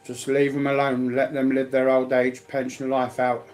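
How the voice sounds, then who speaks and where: Man chatting casually in a flat tone. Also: mid-range voice, moderate pace, faint music behind. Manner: colloquial, repeats himself. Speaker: speaker sharing personal life news. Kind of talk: casual rambling chat.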